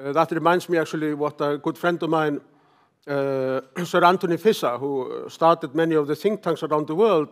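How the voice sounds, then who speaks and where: An older man with an Icelandic accent giving a conference lecture, halting, often clearing his throat. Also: on the high side. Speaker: professor giving a talk. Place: conference hall stage, at a lectern.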